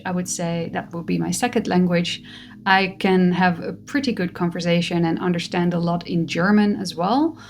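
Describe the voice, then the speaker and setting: Slow, gentle woman. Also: fairly low pitch, a little hiss or hum. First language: Dutch. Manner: gentle, musing, with soft laughs. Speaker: spiritual vlogger. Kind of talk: reflective personal monologue.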